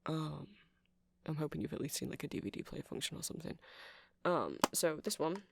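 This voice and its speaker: A man's voice: a little high, lively intonation, a little fast, creaky, noisy background. A man playing a nerdy, friendly vampire music shop clerk in an ASMR roleplay, rambling and hesitant as he explains things. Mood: chatty, nerdy. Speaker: shopkeeper character in ASMR roleplay.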